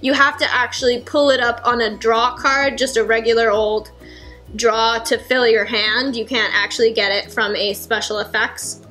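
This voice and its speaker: Young woman, slightly hoarse, high pitch, casual rules walkthrough, kitchen table, camera mic. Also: steady tone, normal speed, pushed and bright, faint music behind. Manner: chatty, explains with many fillers and restarts. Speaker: board game reviewer. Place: home kitchen, indoors. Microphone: fixed camera at table.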